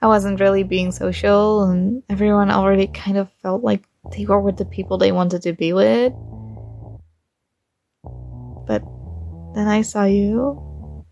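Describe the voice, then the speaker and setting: A young woman playing a shy, warm college girl with a secret crush, speaking softly and hesitantly in an intimate ASMR roleplay. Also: medium pitch, steady tone, moderate pace, vocal fry, no room sound, faint music behind, close to an everyday voice. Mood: tender, shy.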